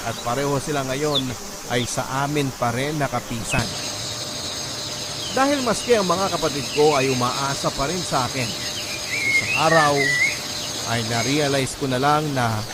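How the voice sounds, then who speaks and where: A man's voice: mid-range voice, moderate pace, long pauses, heavy background noise. A man reading a first-person scripted story as the narrator of a radio drama. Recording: loud music under the voice. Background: loud background music.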